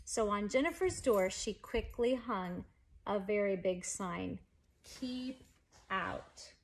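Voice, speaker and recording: A woman reads a picture book aloud to children in an animated, emphatic way. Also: medium pitch, measured pace, a little hiss or hum. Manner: animated, emphatic phrasing for young listeners. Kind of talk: expressive children's story read-aloud. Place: living room at home.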